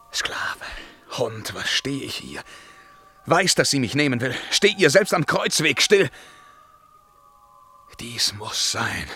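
An actor playing an imperious, self-tormenting emperor in a German radio play, declaiming verse that swings between command and hushed brooding. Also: male voice, a little high. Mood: brooding, anguished.

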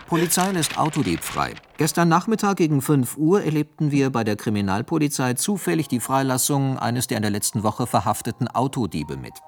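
An actor in a radio play voicing a newspaper crime report in a formal, declamatory manner. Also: male voice, medium pitch, big pitch swings, a little fast, few pauses, slight background noise. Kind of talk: scripted news-report reading in radio drama.

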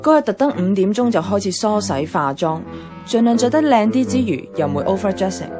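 A woman's voice: mid-range voice, very animated, brisk, lightly acted. Casting: actress as dramatic, lovelorn young office woman, Hong Kong Cantonese, wry confessional radio-play narrator, music bed. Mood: wry, hopeful. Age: young adult.

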